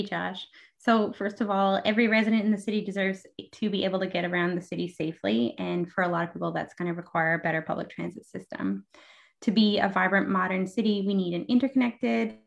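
A woman's voice: lowish voice, creaky. A woman answering a town hall question as an election candidate, speaking about policy in a confident, articulate way.

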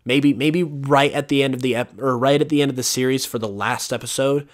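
A young man hosts a solo podcast in a loose, rambling way, full of fillers and restarts. His voice is wearing hoarse and he clears his throat at times, and his pitch sits right in the middle for a man. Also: fairly even intonation, brisk. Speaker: podcast host. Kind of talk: casual solo podcast talk. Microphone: voice-over over gameplay footage.

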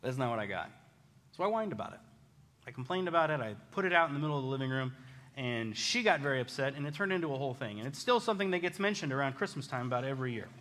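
Bright-voiced American man preaching in reverberant hall. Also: mid-range voice, racing. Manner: casual, animated, self-deprecating, mimics others' remarks. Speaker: pastor preaching. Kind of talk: conversational sermon with personal stories.

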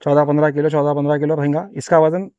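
A man's voice: fairly high pitch, little pitch movement, quick pace, warm tone, no echo. A man with a Hyderabadi accent hosting a conversational promotional farm interview, repeating answers back and sprinkling in pious phrases. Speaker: video host interviewing a livestock farmer. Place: outdoors on a grassy farm yard. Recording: dull lo-fi sound.

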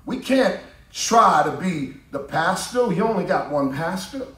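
High, lively preaching man in a church. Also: unhurried. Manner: preaching cadence, rising to shouts. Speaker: pastor. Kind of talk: sermon. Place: church sanctuary, at a pulpit. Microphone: pulpit microphone. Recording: roomy church from a distance.

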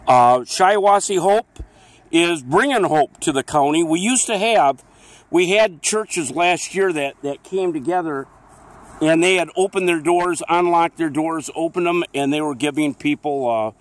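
A man's voice: fairly high pitch, no echo. A man with a friendly, rambling manner, interviewing people outdoors on a town street into a handheld camera.

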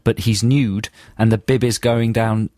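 A man's voice: fairly low pitch, steady tone, measured pace, dark tone, no room sound, clean studio sound. A man in his thirties co-hosting a radio show, riffing with absurd, rambling asides and trailing restarts. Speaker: radio co-host. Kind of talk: jokey studio banter. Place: radio studio. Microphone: studio microphone.

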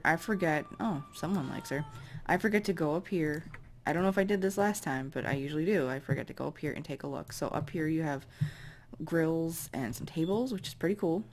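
A woman with a raspy voice giving casual, chatty commentary as she plays a life-simulation game. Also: low voice. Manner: chatty, musing aloud while directing characters. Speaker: let's play host.

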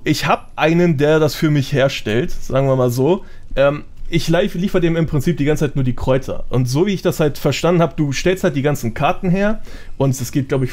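A man's voice: fairly high pitch, darkish tone. A man chatting casually as a podcast guest, explaining things in slangy speech sprinkled with English gaming terms. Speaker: podcast guest, gold-making gamer.

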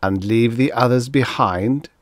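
Man as stern, slow-spoken alien wind being. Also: lowish voice, darkish tone, no room sound. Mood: stiff, sad. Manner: stiff, stubborn, grumbling, emphatic.

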